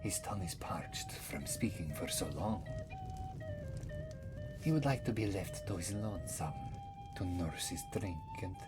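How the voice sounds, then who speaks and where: A man voicing a mysterious, wry old cat-man, rambling in a breathy half-whisper for an ASMR fantasy roleplay. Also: deep voice, animated. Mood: wry, weary. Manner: rambling, third-person speech, theatrical pauses. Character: mysterious old male Khajiit cat-man wanderer, wry, world-weary, teasing. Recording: music behind the voice. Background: prominent background music.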